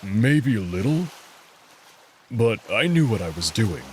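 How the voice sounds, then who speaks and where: A man's voice: lowish voice, big pitch swings, slow pace, slight background noise. A man voicing a big wolf in a visual novel, evasive and wistfully romantic, with hesitant, understated delivery. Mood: shy, tender.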